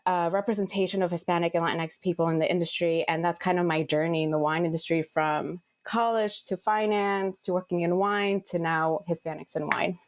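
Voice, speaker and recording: A woman's voice: lowish voice, steady tone, brisk, hardly any pauses, dry recording. A woman in her thirties, born in Ecuador and raised in Queens, telling her career story as an interview guest with plenty of ums and restarts. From born in Ecuador, raised in Queens, New York. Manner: earnest, frequent fillers and restarts. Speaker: guest, wine PR consultant.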